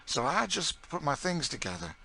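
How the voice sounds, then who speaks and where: A man's voice: a little high, wildly swooping pitch, moderate pace, gravelly, sharp tone, breathy edge, voice pushed up, full character voice, low-quality recording. A man voicing an eager, motherly enslaved woman cook in an audiobook, with a folksy dialect and exclamatory delivery. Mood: earnest. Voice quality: folksy dialect speech with dropped sounds. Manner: exclamatory, emphatic. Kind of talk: audiobook character dialogue.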